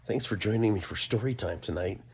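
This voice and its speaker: Gravelly, husky American man telling a story. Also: on the low side, brisk. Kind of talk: casual comedic personal storytelling.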